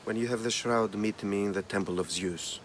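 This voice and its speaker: Male actor as smooth middle-aged villain, lowish voice, measured, cold, condescending, video game, clear background ambience. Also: slight background noise, lightly acted. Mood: calculating. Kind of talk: video game cutscene acting.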